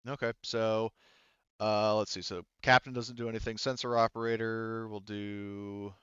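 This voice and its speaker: A man's voice: on the low side, level tone, a little slow, fairly bright tone, clear, resonant tone, no room sound, dull audio. A man running a tabletop game as game master, talking casually and hesitantly as he thinks through and explains the rules.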